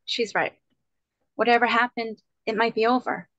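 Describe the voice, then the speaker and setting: Woman acting lovelorn woman, fairly high-pitched. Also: fairly even intonation, moderate pace, fairly bright tone, dry recording, dull audio, natural voice. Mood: brave, resigned. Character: lovelorn woman, hurt but putting on brave face. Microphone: video call microphone.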